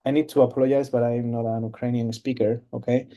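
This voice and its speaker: Halting man presenting on a webinar. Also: mid-range voice, little pitch movement, dark tone, muffled recording. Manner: halting, many fillers and restarts. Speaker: cloud company presenter. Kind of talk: webinar product demo. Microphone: video call.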